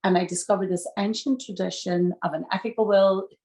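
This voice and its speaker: A woman, a lawyer telling stories warmly on a video call from home. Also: mid-range voice, fairly even intonation, a little fast, echoey room. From Northern Ireland. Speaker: estate planning lawyer, guest. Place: home room with shelves. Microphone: video call webcam.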